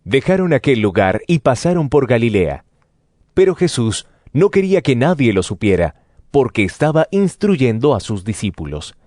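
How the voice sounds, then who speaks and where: A man's voice: mid-range voice, very animated, moderate pace, a little mellow, no echo, clean studio sound. A man narrating scripture for an audio drama in a scripted storytelling style.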